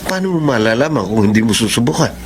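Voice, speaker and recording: A man's voice: medium pitch, expressive, fast, natural voice. An actor playing an earnest, opportunistic young man, pleading his case in a radio drama. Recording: noisy ambient background behind voice.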